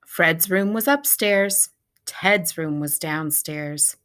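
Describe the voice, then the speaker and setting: A woman reading a children's picture book aloud in a deliberate, measured way, like a teacher reading to pupils. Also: fairly low pitch, fairly bright tone. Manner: deliberate, measured storybook delivery.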